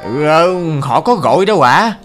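Cartoon waiter: obliging, good-natured, pitched up a little, music behind. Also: male voice, expressive, a little slow. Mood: puzzled. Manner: obliging, polite. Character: eager, good-natured male noodle-shop waiter. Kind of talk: cartoon voice acting.

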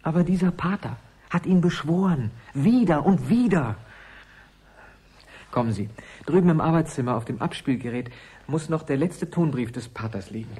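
An actor playing an urgent, secretive scientist's assistant in a radio play, earnest and persuasive, with a husky voice. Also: male voice, fairly high pitch, expressive, moderate pace, mellow, a little hiss or hum, natural voice. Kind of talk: German radio play acting.

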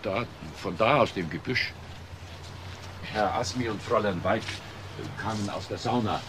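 An actor playing a deferential household servant and caretaker in a crime radio drama, explaining hesitantly and repeating words. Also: male voice, fairly low pitch, unhurried, fairly bright tone, a little hiss or hum. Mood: hesitant, explanatory.

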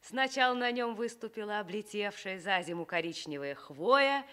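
A woman narrating a children's tale for radio with deliberate, expressive phrasing. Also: mid-range voice, smooth. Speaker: storyteller.

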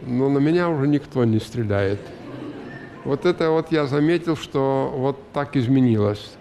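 An older man talks in a slow, musing way, full of pauses and filler sounds. His voice is gravelly and creaky. A faint hiss sits under the headset mic in a studio with an audience. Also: mid-range voice, dark tone, long reverb tail, a faint crowd. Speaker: guest actor. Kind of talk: talk show interview.

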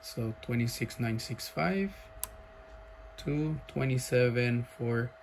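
A man casually showing off a radio at a desk, thinking aloud with lots of fillers and pauses. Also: mid-range voice, creaky, a little hiss or hum. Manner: slow, halting, many fillers, thinking aloud. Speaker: radio seller demonstrating a CB radio. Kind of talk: casual off-the-cuff demo. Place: indoor workshop at a desk.

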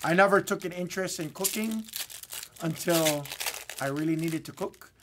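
A man's voice: on the high side, normal speed, noisy background. A man with a Hawaiian accent chatting casually as a livestream host. He rambles, repeats his words and laughs often. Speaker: livestream host of card breaks.

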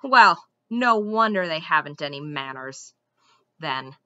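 Woman acting a loud, indignant, scolding squirrel. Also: sits high, very animated, normal speed, no room sound, lo-fi sound, voice pushed up, heavily acted. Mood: sniffy. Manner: huffy, complaining, emphatic. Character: fussy, indignant, scolding fat gray squirrel. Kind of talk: audiobook character voice.

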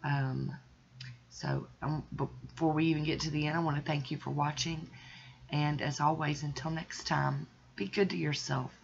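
Sick lady with a croaky gravelly voice, friendly, dull lo-fi audio. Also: low-pitched, fairly even intonation, normal speed. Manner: friendly, with ums and uhs. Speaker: nail polish vlogger. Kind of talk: casual video intro. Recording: dull lo-fi sound, faint noise.

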